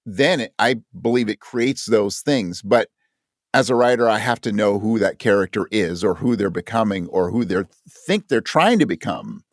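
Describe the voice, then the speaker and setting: A man's voice: lowish voice, lively intonation, moderate pace, dry recording, studio quality. A man talking as a guest in a casual interview, reflective and self-deprecating. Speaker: podcast guest, actor and audio drama writer.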